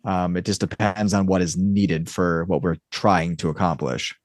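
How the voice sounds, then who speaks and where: A man's voice: low voice, fairly even intonation, normal speed, no echo, clean studio sound. A man speaking casually as an interview guest, explaining in a rambling way peppered with "like" and "you know". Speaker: podcast guest, audio drama creator and writer.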